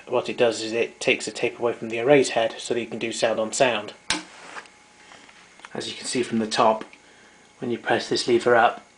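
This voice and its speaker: Flat-toned man explaining equipment. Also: medium pitch, poor audio. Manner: hesitant, halting explanation. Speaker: vintage tape recorder enthusiast demonstrating equipment. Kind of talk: informal technical demonstration. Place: indoors, small domestic room.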